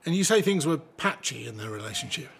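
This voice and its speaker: An actor in a radio drama playing a hesitant, probing police interviewer with a gravelly voice. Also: male voice, medium pitch, sing-song, a little fast.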